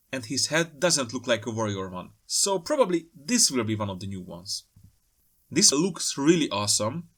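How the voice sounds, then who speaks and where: A man's voice: mid-range voice, a bit bright. A man giving casual, playful commentary as the host of a hobby video, with jokey asides. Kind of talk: casual scripted-feel commentary on trailer pictures.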